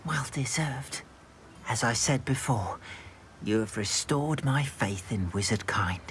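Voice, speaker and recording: A woman's voice: rumbling low, animated, slow pace, heavily acted. An actress playing a sentimental, doting witch in a video game, effusive, fussy and theatrical. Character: sentimental, doting witch pining for her pet. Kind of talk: video game cutscene acting. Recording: clear background ambience noise.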